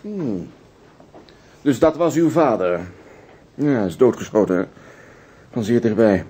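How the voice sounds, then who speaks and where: An actor playing a courteous, methodical police inspector in a Dutch radio drama, businesslike with pensive hesitations. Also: male voice, mid-range voice, very animated, a little slow, warm tone, slightly rough.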